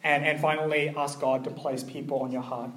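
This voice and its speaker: A young man giving a conversational sermon, earnest and personal, with a gently hesitant delivery. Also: on the high side, level tone, fairly quick, echoey room, a little hiss or hum. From New Zealand and Malaysia. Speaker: preacher.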